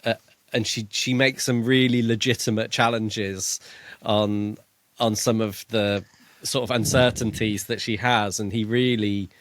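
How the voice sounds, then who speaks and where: A man with a lowish voice speaks as a podcast co-host, recorded very dry. He talks about fiction casually but in a measured, reflective manner, laying out his thoughts step by step. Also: fairly even intonation, no echo. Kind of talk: casual book discussion.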